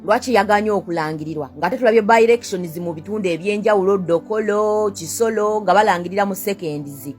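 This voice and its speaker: A woman's voice: mid-range voice, fast talker, few pauses, a little mellow, faint music behind. A young Ugandan woman with a Ugandan accent in a heated rant to camera. She keeps firing the same rhetorical questions, swears at her critics and switches between two languages. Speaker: vlogger.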